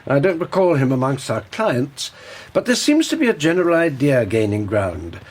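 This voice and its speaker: An actor playing a brisk, good-humoured detective agency head in a radio drama. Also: male voice, mid-range voice, big pitch swings. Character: Victorian detective agency head, middle-aged man.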